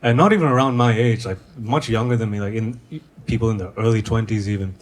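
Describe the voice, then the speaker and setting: A man from Sri Lanka doing conversational stand-up comedy in a hesitant, deadpan way on a stage microphone at a live venue. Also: on the low side, quick pace. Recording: noisy live show recording.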